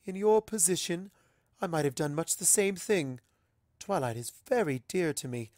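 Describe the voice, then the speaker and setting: A dignified pony ruler princess played by a man, lifted above his natural pitch. She speaks with gracious, formal, measured politeness in a dry fantasy story reading. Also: animated, darkish tone, dry recording, natural voice. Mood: gracious, wry.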